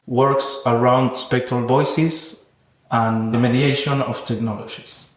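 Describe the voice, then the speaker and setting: A man with an Argentine accent and native Spanish speaks as a podcast guest in a mid-range voice. His phrasing is measured and slightly hesitant, with academic wording. The recording is roomy and echoing. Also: mid-range voice, steady tone, a little hiss or hum. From Buenos Aires, Argentina. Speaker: podcast guest, sound artist and researcher. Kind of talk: reflective interview conversation.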